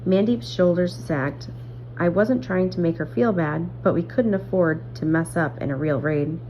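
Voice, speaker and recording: A woman reading a children's novel aloud in a measured, careful storytelling manner. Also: lowish voice. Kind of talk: read-aloud story narration. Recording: muffled audio, clear background hum.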